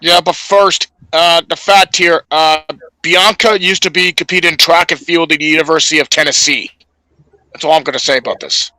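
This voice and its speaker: Male podcast co-host, forceful bright tone, higher-set voice, halting recap delivery with stumbles. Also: fairly even intonation, no echo, poor audio. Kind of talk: show recap from notes.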